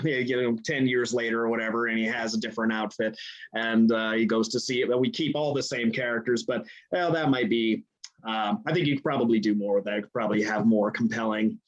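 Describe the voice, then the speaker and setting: A man with a medium-pitched voice speaks casually as a panel guest. He is tentative, thinking aloud as he puts his thoughts together. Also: dull audio. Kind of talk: casual chat.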